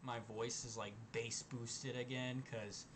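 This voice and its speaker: A man's voice: medium pitch, flat delivery, takes it slowly, a bit bright, a little hiss or hum. A young man streaming a game, chatting casually to viewers while fixing his mic setup. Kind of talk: casual gaming stream chat. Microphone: desk mic.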